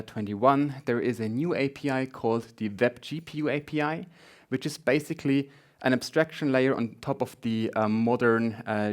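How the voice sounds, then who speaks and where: Swiss German man giving a tech talk. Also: mid-range voice. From Switzerland. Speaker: conference speaker, front-end developer.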